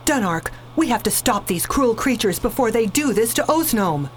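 A woman's voice: fairly high pitch, animated, moderate pace, faint music behind, natural voice. Woman as a proud, vengeful alien survivor. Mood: bitter, vengeful. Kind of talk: space opera radio drama acting.

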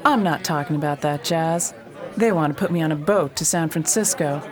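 A woman's voice: low-pitched, normal speed, music in the background, a crowd in the background, lightly acted. An actress playing a brash young woman who mocks and defies a preacher in a radio drama. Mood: coaxing. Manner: sarcastic, defiant.

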